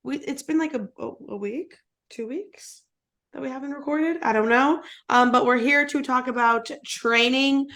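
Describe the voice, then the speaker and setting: A hoarse woman co-hosting a podcast in casual chat over a video call, hesitant, with many ums and restarts. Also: high-pitched, normal speed, a bit bright. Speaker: podcast co-host.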